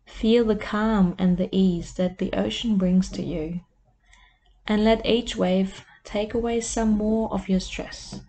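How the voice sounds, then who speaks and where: A woman who hosts a podcast as a life coach, leading a guided meditation in a soft, soothing voice. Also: mid-range voice, low-quality recording.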